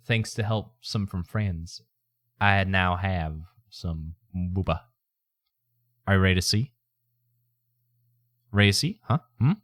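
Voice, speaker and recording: A man's voice: sits low, a bit bright, clear, resonant tone, no echo, clean studio sound. A man chatting casually on a livestream in a put-on accent, with a folksy, playful manner. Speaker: streamer, VTuber.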